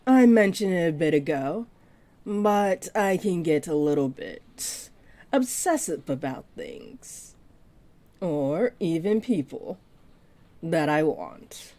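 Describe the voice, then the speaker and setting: A woman playing a flirty, possessive part-dragon librarian in an intimate roleplay audio drama, speaking to the listener in a teasing, deliberate way. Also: low-pitched, big pitch swings, dark tone, exaggerated voice. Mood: candid, confiding. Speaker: voice actor.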